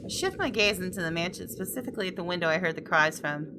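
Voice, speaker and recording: A woman's voice: fairly low pitch, expressive, quick pace, background noise. A woman game streamer reading visual novel text aloud, dramatically and with playful outbursts. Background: game music with guitar.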